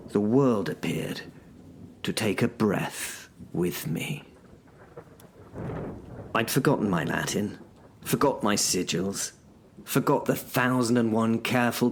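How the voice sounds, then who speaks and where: A man's voice: on the low side, a little hiss or hum. A man narrating a horror audio drama in the first person, brooding and dramatic.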